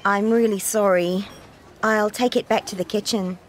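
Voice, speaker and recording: A woman's voice: mid-range voice, fairly even intonation, moderate pace, a little hiss or hum, natural voice, poor audio. A woman reading the part of a polite, apologetic waitress in a coursebook dialogue.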